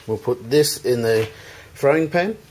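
Guy in his 30s doing a laid-back cooking vid, normal pitch, noisy kitchen sound. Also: takes it slowly, darkish tone. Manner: informal, addresses viewers as guys. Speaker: cooking show host. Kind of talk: casual cooking tutorial. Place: home kitchen, indoors. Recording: clearly noisy home kitchen recording.

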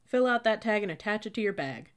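A woman's voice: mid-range voice, lively intonation, fast, voice pushed up, natural voice. A woman voicing a fierce young male military cadet who barks clipped drill orders in an audiobook. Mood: fierce, contemptuous. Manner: bellowing, clipped drill commands. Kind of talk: audiobook character voice.

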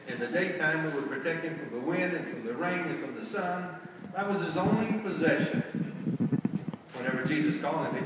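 Older lay preacher, folksy and conversational, heard from far back in a reverberant church. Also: male voice, fairly high pitch, heavy background noise. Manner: folksy, asks rhetorical tag questions. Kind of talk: sermon. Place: large church sanctuary, indoors. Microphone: far camera at the back of the sanctuary. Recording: echoey church hall, distant camera.

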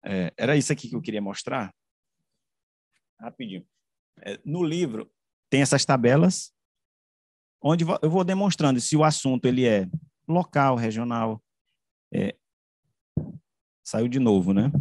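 A Brazilian man giving an academic slide presentation over a video call, halting, with frequent self-corrections and tag questions. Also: medium pitch, animated, darkish tone, dry recording, clean studio sound. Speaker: researcher presenting a study. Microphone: computer mic on a video call.

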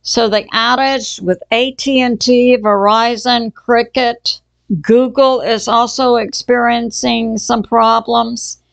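A woman hosting her own channel, giving casual news commentary at a slow, halting pace with frequent fillers and restarts. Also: fairly high pitch, steady tone, gravelly, no room sound, rough recording. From Texas. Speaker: channel host.

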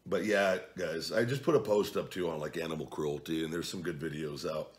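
A man in his forties chatting casually at home as a vlog host, reading viewer comments into a desk camera. He is blunt and profane, jumping from low mutters to loud outbursts. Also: a little fast. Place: home living room at night, seated at a table.

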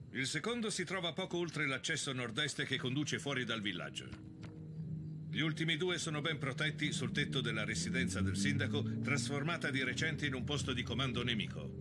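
An actor playing a stern, authoritative wartime army officer giving a briefing in a dubbed video game. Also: male voice, mid-range voice, lightly acted. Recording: clearly noisy background ambience.

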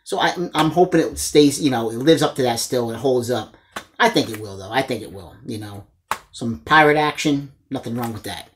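A man in his forties talks to the camera as a channel host. His voice sits at an average pitch. He is enthusiastic and informal, presenting items in a casual, chatty way and addressing viewers as guys. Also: normal speed, noisy background. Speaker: host of a movie collecting channel. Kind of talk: casual chat showing a haul to camera. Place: small indoor room lined with disc shelves.

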